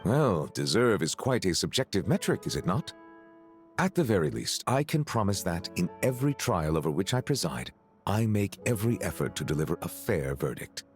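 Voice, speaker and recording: Very deep, formal game judge. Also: male voice, very animated, faint music behind. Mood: earnest, measured. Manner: formal, measured, slightly awkward when flustered. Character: courteous, formal, earnest male dragon chief judge. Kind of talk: video game voice acting.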